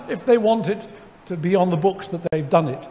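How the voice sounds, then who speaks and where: A middle-aged man, seated on stage, telling a story to an audience in a wry, animated way, full of ums and restarts. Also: high voice, a little slow. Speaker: lecture guest. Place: large church sanctuary before an audience. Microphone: headset mic. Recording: hall reverb, faint ambience.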